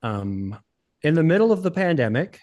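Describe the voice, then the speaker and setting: A man in his forties from the United States, chatting as a podcast guest in a relaxed, rambling way, with many fillers. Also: a little high, moderate pace. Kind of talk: casual interview chat.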